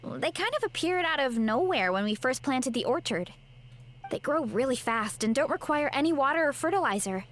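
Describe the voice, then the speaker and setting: A woman's voice: high-pitched, lively intonation, moderate pace. A woman voicing a friendly young woman from a fruit farm, talking in a chatty, easygoing way in a game. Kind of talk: game voice acting. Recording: game audio with faint music.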